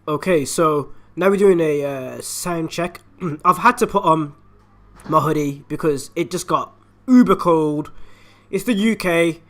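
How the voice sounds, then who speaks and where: Raspy-voiced man, hoarse with flu. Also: a little high, unhurried, fairly bright tone. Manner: chatty, upbeat, direct to viewers. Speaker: YouTube channel host. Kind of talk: casual product review and unboxing. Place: at home, indoors. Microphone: USB desktop microphone.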